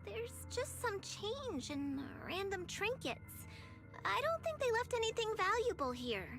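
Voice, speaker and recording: A tiny, eager anime game girl with a very high, bright voice. She chirps along with lively swings in pitch, curious and keen, over faint music. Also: a little slow, heavily acted. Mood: curious, upbeat. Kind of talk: anime video game voice acting.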